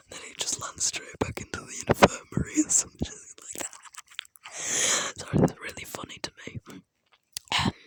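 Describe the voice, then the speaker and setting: A person speaking in a breathy, unvoiced whisper, telling an improvised fan story in a rambling, halting way, close to a phone. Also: fairly bright tone, no echo, low-quality recording. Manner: rambling, halting, acting out characters' dialogue in passing.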